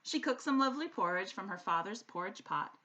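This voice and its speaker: A woman reads a children's story aloud in rhyme. Her delivery is expressive and sing-song, like a teacher reading to pupils. The pitch moves widely around a middle register. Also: brisk. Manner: animated, sing-song rhythm following the rhyme.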